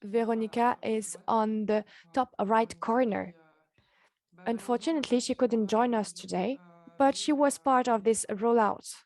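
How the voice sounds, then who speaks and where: A woman's voice: fairly high pitch, a little slow, a little mellow. A woman speaking as a presenter, giving a conference presentation.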